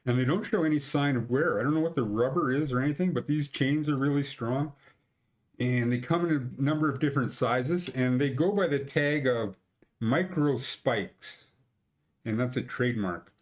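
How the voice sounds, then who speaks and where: A man's voice: mid-range voice, moderate pace. A man in his sixties with a Canadian accent, hosting a casual mountain-conditions show from a small room at home and rambling through it with blunt, crude jokes. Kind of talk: casual screen-share commentary.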